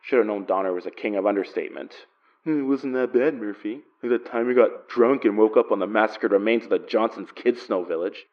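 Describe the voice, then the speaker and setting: A man's voice: lowish voice, brisk, recorded from a distance, close to an everyday voice. An actor playing a weary, sardonic male investigator, grumbling dryly in an audio drama monologue. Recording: muffled, voice away from the mic.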